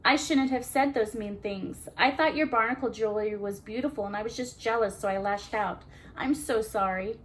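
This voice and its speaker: A woman's voice: a little high, moderate pace, few pauses, lightly acted, low-quality recording. A woman voicing a young female manatee in a children's storybook, once mean and now pleading and apologetic, in a gushing manner. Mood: sorry, grateful.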